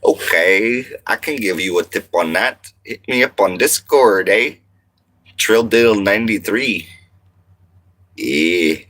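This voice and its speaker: Deep slow guy from montana doing a chill livestream in his rv, slangy and rambling. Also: a bit bright, rough recording. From Montana, United States. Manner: laid-back slangy talk, drawn-out and rambling. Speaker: livestream host. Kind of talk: casual livestream chat reading comments.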